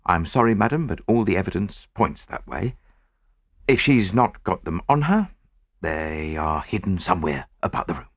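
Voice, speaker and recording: Man voicing low-pitched, practical police inspector. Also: expressive, normal speed, no echo, studio quality. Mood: grave. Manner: plain-spoken, businesslike. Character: practical, authoritative English male police inspector.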